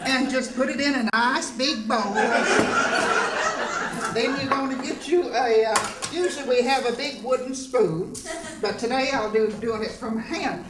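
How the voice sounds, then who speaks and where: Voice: high-pitched, measured pace. A performer playing a folksy old grandma in a variety show sketch, rambling and hesitant as she demonstrates baking. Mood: folksy, cheerful. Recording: roomy stage sound with loud noise.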